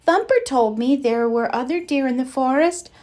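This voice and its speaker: A woman's voice: sits high, moderate pace, a little mellow, pitched above the performer's own voice, natural voice. A woman voicing an innocent, curious young male fawn in a storybook, with a wide-eyed, questioning manner. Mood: curious, eager.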